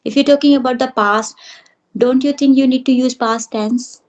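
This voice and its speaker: A woman's voice: high voice, fairly even intonation, normal speed, highs cut off. A woman English teacher giving firm, reassuring advice in an interview.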